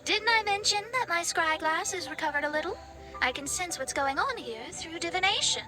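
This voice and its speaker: A young genius astrologer in a video game, proud and sure of herself. The voice is very high, with music clearly behind it. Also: female voice, lively intonation, a little fast. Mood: confident. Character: self-assured young female genius astrologist, haughty, quick-witted. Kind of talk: English video game voice acting.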